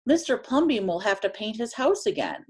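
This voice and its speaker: A woman's voice: medium pitch, big pitch swings, moderate pace, darkish tone, breathy edge. A woman voicing indignant, gossipy storybook neighbors who scold and exclaim emphatically about anyone different. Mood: complaining.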